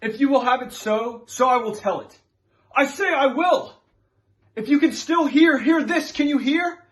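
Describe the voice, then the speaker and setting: A man's voice: very high-pitched, measured pace, pitched above the performer's own voice, poor audio. A man performing a dramatic stage monologue as an anguished, defiant Puritan who clings to his name, in an emphatic, impassioned delivery. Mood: furious threatening. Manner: impassioned, emphatic, archaic phrasing.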